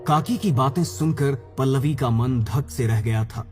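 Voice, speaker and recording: Low-pitched man narrating a horror story. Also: measured pace. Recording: music under the voice.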